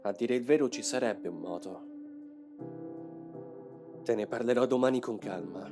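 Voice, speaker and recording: A man's voice: mid-range voice, normal speed, natural voice. A man voicing a grave, determined young man in a motion comic dub, with a solemn, explanatory delivery. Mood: somber. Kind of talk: dramatic motion comic dubbing. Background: music.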